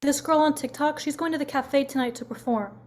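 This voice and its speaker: A woman's voice: sits high, fairly quick, close to an everyday voice. A woman playing a pushy, sassy young daughter in a comedy table read, with an eager manner. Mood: insistent.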